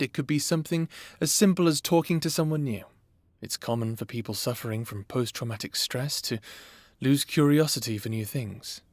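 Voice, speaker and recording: A man playing a calm, caring therapist who talks to a patient in a measured, reassuring way, in an audio roleplay. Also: mid-range voice, no echo, natural voice.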